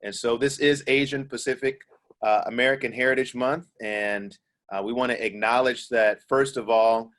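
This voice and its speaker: A man who pastors a church, moderating a discussion over a video call, earnest and halting with many fillers. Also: medium pitch, steady tone, a bit bright.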